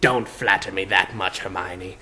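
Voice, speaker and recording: A man voicing a smug, lecherous talking hat with a gruff baritone and crooning, cajoling manner. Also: fairly even intonation, a little slow, sharp tone, exaggerated voice. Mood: smug, cooing. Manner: crooning, sing-song, cajoling.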